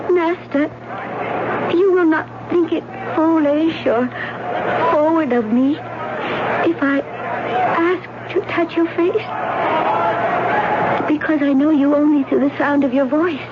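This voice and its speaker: A woman's voice: high voice, takes it slowly, pauses often, dull audio, over a noisy crowd. An actress playing a blind young slave woman, devoted and anguished, speaking earnestly and formally in an old-time radio drama. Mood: tender, humble. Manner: earnest, formal, emotional delivery. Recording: noisy, band-limited old radio recording.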